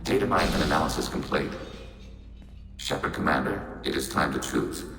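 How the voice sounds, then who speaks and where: Very deep, formal synthetic robot. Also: male voice, normal speed, reverberant, long reverb tail, over loud music. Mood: detached, matter-of-fact. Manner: formal, flat, clipped machine-like statements. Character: calm, logical synthetic robot of a collective mind. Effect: synthetic robot voice filter. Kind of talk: video game voice acting.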